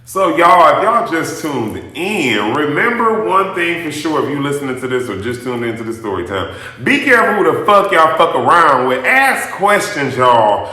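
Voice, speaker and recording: Sharp-voiced man ranting in a very echoey room. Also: a little high, sing-song, moderate pace, hardly any pauses. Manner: emphatic, blunt, profanity-laden, addresses listeners directly. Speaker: storytime host addressing an audience. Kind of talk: casual animated storytelling, rant.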